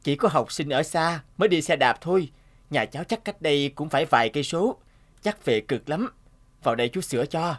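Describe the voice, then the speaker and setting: A man's voice: on the high side, lively intonation, a little fast, close to an everyday voice. A man voicing a kind, cheerful cartoon repairman dad. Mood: friendly, reassuring. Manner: friendly, reassuring. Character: kind, cheerful young father who repairs bikes. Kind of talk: cartoon voice acting.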